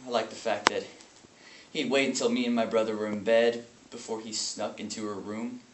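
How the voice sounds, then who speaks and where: A man performing a dramatic stage monologue as a grieving, traumatized brother, anguished and bitter, speaking in halting phrases with heavy pauses. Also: fairly low pitch, flat delivery, far from the mic, voice pushed down, natural voice. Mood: bitter, haunted. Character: grieving, traumatized brother bitter about his abusive family. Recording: echoey room with faint noise.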